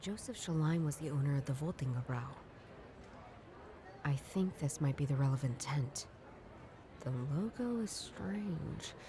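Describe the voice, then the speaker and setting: Low-voiced inquisitive woman detective. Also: animated, measured pace, pauses often, noisy background. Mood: musing. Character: inquisitive young woman detective puzzling over clues. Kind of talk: scripted video game dialogue, thinking aloud.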